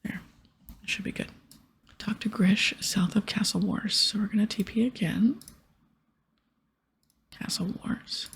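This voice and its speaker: A woman narrates gameplay in a hushed ASMR whisper. Her voice is extremely airy, with a crackly fry at the edges, and she murmurs little asides to herself. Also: medium pitch, unhurried, long pauses. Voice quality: whispery, breathy. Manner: soft, murmured asides to self. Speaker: game streamer reading quest dialogue.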